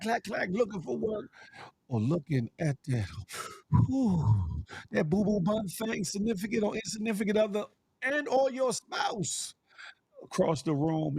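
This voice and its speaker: A man with a scratchy, strained voice opens his morning show in a lively, preacher-like way, his fairly high voice swooping up and down. He sounds close and dry, with no room around him. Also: gravelly, no echo. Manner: animated, swoops in pitch, preacher-like encouragement. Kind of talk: lively live-stream show opening.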